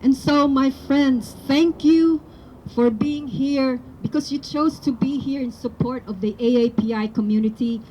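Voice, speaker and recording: Filipina woman, native Tagalog speaker, high voice, earnest rally speech building to emphatic appeals, faint background noise. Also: a little slow, dark tone, poor audio. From Philippines. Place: outdoor rally.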